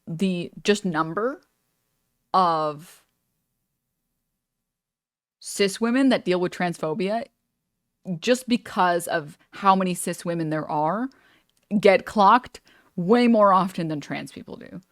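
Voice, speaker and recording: Chatty young woman streamer, low and dry. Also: animated, takes it slowly, long pauses, no echo. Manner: chatty and meandering, lots of "like" and "you know". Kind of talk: casual reaction stream commentary.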